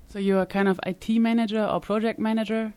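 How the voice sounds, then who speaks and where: A woman's voice: medium pitch, flat delivery, quick pace, mellow, clear, resonant tone. A woman hosting a podcast interview, asking questions in a hesitant way with frequent fillers. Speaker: podcast host. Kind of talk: conversational interview. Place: conference summit venue.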